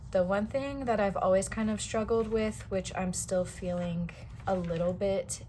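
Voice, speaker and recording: A young woman vlogger chatting casually about her planners, a little hoarse as she gets over a cold, rambling with lots of fillers. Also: fairly low pitch, a little mellow, slight background noise. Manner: rambling, many fillers and restarts. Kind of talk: casual chat.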